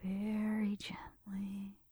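Breathy, slow-whispering woman doing ASMR roleplay. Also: medium pitch, completely flat delivery, no echo. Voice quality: soft, breathy, often whispering. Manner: gentle, intimate, narrating each step softly. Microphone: close mic.

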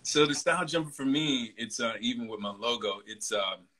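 A man in his forties hosts a relaxed conversation and talks at an easy, rambling pace full of fillers. His voice is bright and forward, sitting at a middle pitch. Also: moderate pace, slightly rough. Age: 40s. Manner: relaxed and chatty, many fillers. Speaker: host. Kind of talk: casual interview chat.

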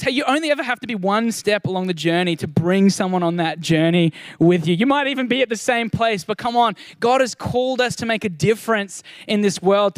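High-voiced man preaching an earnest sermon. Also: no echo. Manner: earnest, encouraging, repeats words when starting sentences. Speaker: pastor.

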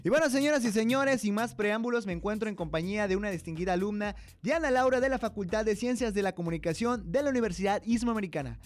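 A male radio presenter with a high-pitched voice and quick delivery. He reads scripted material in a formal announcer manner, with light music behind him. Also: lively intonation, no echo. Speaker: radio host and narrator.

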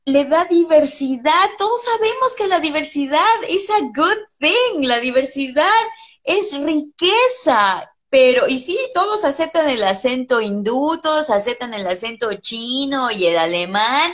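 Blunt, high-voiced woman. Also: lively intonation, a little slow, fairly bright tone. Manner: blunt, passionate, swears freely. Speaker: English teacher. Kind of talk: casual interview chat.

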